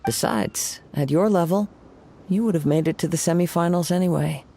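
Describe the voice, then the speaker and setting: An actor voicing a calm, poetic young male samurai in a video game who speaks in a measured, reserved, formal way. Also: on the high side.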